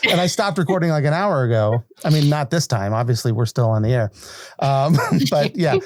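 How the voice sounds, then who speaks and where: A man's voice: medium pitch, expressive, a little fast, a little mellow, slight background noise. An American man hosting a podcast, talking casually in a rambling, chatty, unscripted way. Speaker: podcast host. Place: small fenced-off area beside a living room at home.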